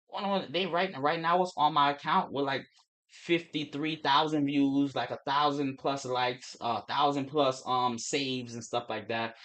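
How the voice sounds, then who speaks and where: Fast-talking Caribbean-accented man rambling. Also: medium pitch, fairly even intonation, a bit bright. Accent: Turks and Caicos Creole-English mix. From Turks and Caicos. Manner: fast rambling speech with restarts and swearing. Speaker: trading livestream host. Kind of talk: casual livestream talk.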